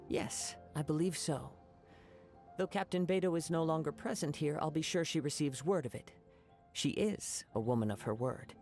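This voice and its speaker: A man voicing a calm young male wandering samurai in an ASMR fan voice-over of game lines, speaking softly and in a measured way. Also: a little high, gravelly.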